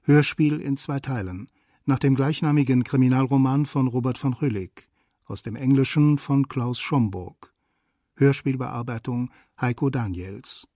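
A man's voice: medium pitch, a little slow, dark tone, no room sound. A man reading a scripted title announcement for a radio drama, recorded close in a studio. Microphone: close studio microphone, dry.

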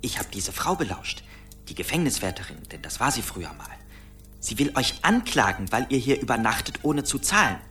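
A small, resourceful earwig fellow, brisk and cheeky in a radio play. The voice is low-pitched for a woman and swings dramatically up and down, with some music behind. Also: very animated. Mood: conspiratorial, cocky. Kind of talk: German radio play acting.

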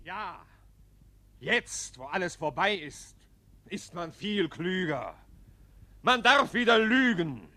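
A fervent street preacher of doom in a radio drama. His high, piercing voice declaims slowly and loudly in a dry recording. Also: no echo, exaggerated voice. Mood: bitter, ominous. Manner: declamatory, exhorting, loud. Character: fervent doomsday street preacher haranguing a park. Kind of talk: German radio play acting.

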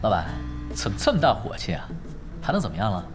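A man's voice: fairly low pitch, wildly swooping pitch, fast talker. A man acting in a Mandarin audio drama as an easygoing, confident esports team leader, wry and plain-spoken. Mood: amused. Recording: clear music under the voice.

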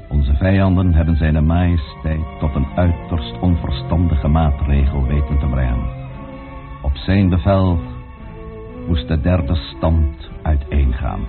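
A scheming aristocrat dictates a secret letter in an extremely deep, grave, measured voice. The old recording is thin and phone-like, with faint music beneath. Radio drama. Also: male voice.

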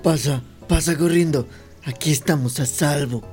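An actor playing an anxious, earnest little pig brother in a children's radio play. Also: male voice, a little high, moderate pace, a little mellow, faint music behind, close to an everyday voice. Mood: urgent.

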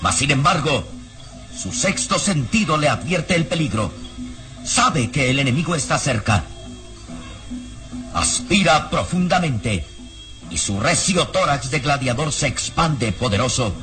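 A man's voice: mid-range voice, very animated, unhurried, pauses often, music in the background. A man narrating an old radio serial in a dramatic, suspenseful, emphatic style.

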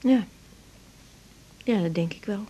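An actress playing an anxious, stubborn young wife and doctor in a radio drama, often hesitant and repeating herself. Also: female voice, medium pitch, slow pace, dark tone, poor audio. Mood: subdued, confused. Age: young adult. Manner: hesitant, repeating her refusals.

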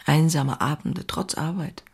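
A woman's voice: sits low, measured pace, vocal fry, a little mellow, husky, heavily acted. An actress playing an outspoken, passionate woman writer who confides in her diary in an intimate, wry way. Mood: intimate, restless. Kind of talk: dramatized diary reading, scripted narration.

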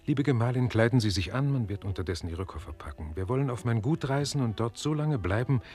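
An actor playing an earnest, tender-hearted French marquis in a German radio play, husky and courtly. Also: male voice, medium pitch, lively intonation, fast, a little mellow, lightly acted. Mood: resolved, warm. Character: lovesick French marquis, tender, despairing and forgiving nobleman.

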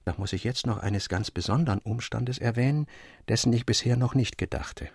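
A man reading a literary tale as scripted narration, in an expressive, measured storytelling manner. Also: medium pitch, vocal fry, breathy edge, no room sound, clean studio sound. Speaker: audiobook reader. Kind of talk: literary scripted narration for German public radio.

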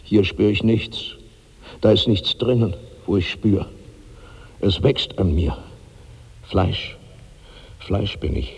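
A man's voice: lowish voice, takes it slowly, creaky, long pauses, darkish tone, husky, exaggerated voice. An actor in a 1960s German radio drama playing a huge, heavy old man tormented by bottomless hunger, in declamatory, incantatory repetition. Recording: long hall reverb on old radio recording.

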